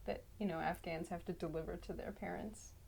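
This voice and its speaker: A woman's voice: fairly low pitch, fairly even intonation, a little fast, creaky, a little mellow, breathy edge. A woman in her twenties from Canada, a music graduate student, telling the story of her relationship in a chatty way. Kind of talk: personal testimony in a documentary interview.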